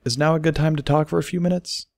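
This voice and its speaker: Man acting a nervous, earnest pony stallion, pitched up. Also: steady tone, quick pace, darkish tone, dry recording, clean studio sound, close to an everyday voice. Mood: awkward. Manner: hesitant, stammering. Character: nervous, earnest male pony, awkward suitor.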